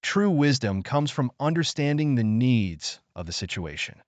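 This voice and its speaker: A man voicing a kindly, patient, wise old village man in a bedtime story, soft-spoken and thoughtful. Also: mid-range voice, sing-song, normal speed, dry recording, natural voice. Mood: knowing, calm.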